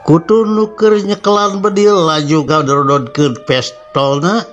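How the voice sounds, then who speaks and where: A man's voice: a little high, steady tone, unhurried. A man with a Sundanese accent narrating a horror story dramatically as host, with music behind him. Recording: music under the voice. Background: background music.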